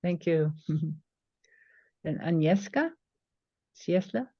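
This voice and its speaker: A woman moderating a webinar, briefly thanking people and reading out names haltingly. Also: deep voice, expressive, slightly rough, no room sound. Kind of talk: calling on participants. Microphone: video call. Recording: dull, dry video-call audio.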